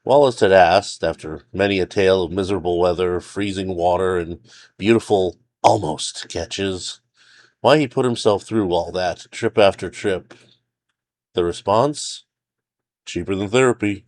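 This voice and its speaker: A man's voice: low-pitched, measured pace, a little mellow. A man reading his own fiction aloud as a scripted story narrator.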